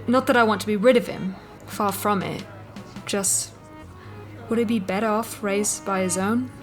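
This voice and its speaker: A woman's voice: medium pitch, steady tone, normal speed, faint music behind, lightly acted. An actress playing a caring, plain-spoken common woman in a fantasy audio drama, folksy and hesitant. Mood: tender, unsure.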